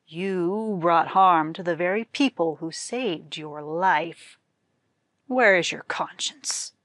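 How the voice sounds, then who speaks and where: A woman's voice: on the low side, slow, a bit bright, no room sound. Woman acting a male government minister, righteous, scornful, formal scolding, storybook folktale, dry sound. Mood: angry scorn.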